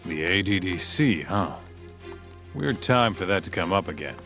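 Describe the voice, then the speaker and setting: An actor playing a laid-back investigator who talks casually and bluntly. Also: male voice, sits low, animated, normal speed, bright, forceful tone, soft background music, heavily acted. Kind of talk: video game cutscene voice acting.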